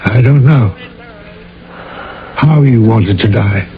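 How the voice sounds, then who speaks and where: An actor playing a grave, concerned government man in a radio drama, speaking in a measured, solicitous way. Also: mid-range voice, slight background noise. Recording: tinny old radio broadcast.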